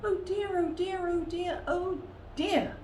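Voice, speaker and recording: A woman's voice: very high voice, steady tone, takes it slowly, a little mellow, voice pushed up. A woman voicing a big, hapless female polar bear who frets in sing-song exclamations, for a children's storybook. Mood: anxious, fretful. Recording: roomy echo with faint background noise.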